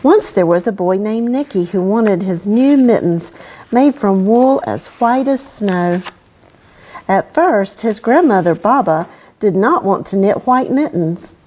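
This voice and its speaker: Female children's storytime reader: mellow tone, lively expressive melody, clear storytelling delivery for young listeners. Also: mid-range voice, unhurried, rough recording. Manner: expressive storytelling aimed at kids. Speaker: storytime host reading aloud. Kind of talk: children's picture book read-aloud.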